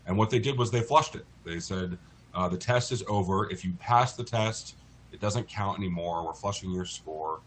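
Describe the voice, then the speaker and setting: Male, American, low voice. Articulate expert guest in an interview, explanatory and measured, with background noise. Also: fairly bright tone. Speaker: interview guest, lawyer in a class action.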